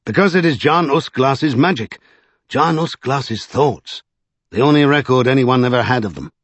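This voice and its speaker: Guy playing a desperate earnest man in an audiobook, husky and pushy, real animated. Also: mid-range voice, moderate pace, no echo, highs cut off, natural voice. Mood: pleading. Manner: urgent, insistent, exasperated. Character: desperate, earnest, exasperated serving man pressing for answers.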